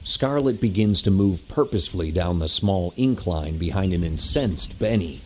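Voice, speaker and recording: A man's voice: sits low, expressive, moderate pace, a little mellow. A man reading scripted scene narration for an audio drama.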